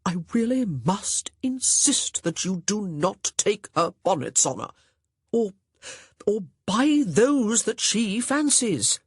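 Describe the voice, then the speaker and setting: A narrator reading a pompous, easily flustered young gentleman who scolds sternly but wavers. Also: sits high, very animated, normal speed, slightly rough, dry recording, studio quality, exaggerated voice. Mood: earnest. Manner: stern, blustering, uncertain.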